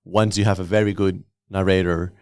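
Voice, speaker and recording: A man hosting a podcast and interviewing guests in a casual, conversational way, with a gravelly voice. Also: deep voice, little pitch movement, fairly quick, dry recording, studio quality.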